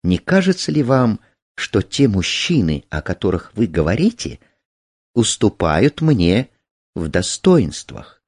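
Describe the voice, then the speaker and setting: A man reading a proud, courteous young woman, animated. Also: lowish voice, takes it slowly, slightly rough, no echo, studio quality, exaggerated voice. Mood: respectful, proud. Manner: formal, courteous, self-assured.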